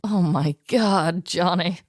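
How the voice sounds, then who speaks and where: A woman co-hosting a comedy podcast, casual and playfully expressive as she chats and tells stories. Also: on the low side, slow, no echo, studio quality. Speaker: podcast co-host. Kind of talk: casual comedic chat and storytelling.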